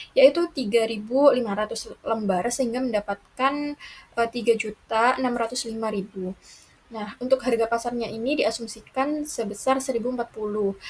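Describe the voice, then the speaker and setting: Higher-voiced young woman, halting student presenter. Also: a little mellow, poor audio. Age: young adult. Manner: halting, with broken-off words and restarts. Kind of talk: lesson presentation, working through accounting problems. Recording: noticeable background noise.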